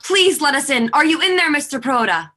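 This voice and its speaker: A woman's voice: high-pitched, animated, brisk, pushed and bright. A woman playing a desperate village refugee pleading for help in a stage play reading.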